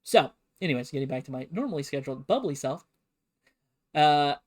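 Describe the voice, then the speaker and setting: A man's voice: fairly high pitch, expressive, a little fast. A man streaming a casual, comedic history lesson, full of sarcastic and playful asides. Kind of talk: casual, comedic history lecture to a livestream audience.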